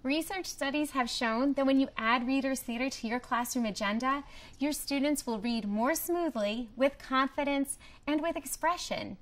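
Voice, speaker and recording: High-voiced woman narrating instructional video. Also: normal speed. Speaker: presenter of a promotional training video.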